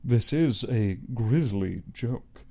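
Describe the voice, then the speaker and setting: A man's voice: medium pitch, animated, slow pace, warm tone. A man voicing an earnest, civilized younger hunter in an audiobook, reserved and plain-spoken. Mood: appalled. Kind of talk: audiobook character acting.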